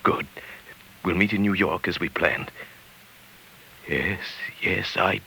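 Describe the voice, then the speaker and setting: An actor playing a meek, middle-aged henpecked husband who secretly schemes, in 1940s radio drama style. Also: male voice, deep voice, slow, gravelly, sharp tone, a little hiss or hum, heavily acted. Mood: furtive, tender. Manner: meek deference with simmering resentment. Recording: 1940s radio broadcast with background music.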